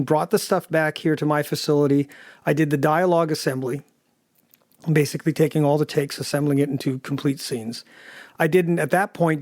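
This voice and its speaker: Higher-voiced man recalling his work, dry sound. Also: a little mellow, no room sound. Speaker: audio drama producer and sound designer. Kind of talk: interview recollection.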